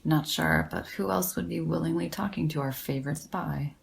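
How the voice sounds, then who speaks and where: An actress playing a blunt, sardonic woman academic in an audio drama, with a dry, deadpan, sweary delivery. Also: low voice, normal speed, vocal fry.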